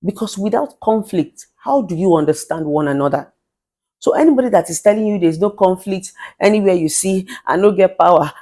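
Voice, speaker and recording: Forceful Nigerian woman giving marriage advice. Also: fairly low pitch. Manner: forceful, emphatic, mixes in Pidgin phrases. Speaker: relationship therapist. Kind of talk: motivational advice monologue.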